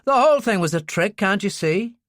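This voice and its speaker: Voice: medium pitch, animated, a little fast, fairly bright tone, no echo, clean studio sound, voice pushed up, natural voice. A narrator voicing a blunt, urgent male bodyguard in an audiobook thriller. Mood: insistent.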